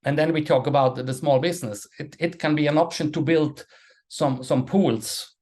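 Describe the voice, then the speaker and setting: A man, a panel guest speaking English as an in a halting way, restarting and repeating words. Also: fairly high pitch, fairly even intonation, a little mellow. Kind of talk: casual webinar talk.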